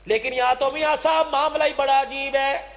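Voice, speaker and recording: A man's voice: very high voice, flat delivery, fairly bright tone. A man preaching an impassioned Islamic sermon, declaiming and drawing phrases out into a chant. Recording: long hall reverb, music-like sound behind.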